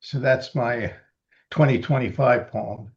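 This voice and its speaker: A man in his seventies from Los Angeles reads his own poems slowly and deliberately, with halting, chatty asides between them. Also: mid-range voice, lo-fi sound. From Los Angeles, USA. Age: 70s. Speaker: poet reading his libretto. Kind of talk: poetry reading with casual asides.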